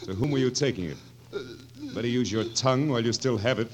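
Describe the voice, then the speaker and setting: A man's voice: mid-range voice, quick pace, a crowd in the background, natural voice. An actor playing a sly, cruel king with mock-genial menace in a 1940s radio drama. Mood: menacing, amused. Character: sly, cruel, drily amused old-world king.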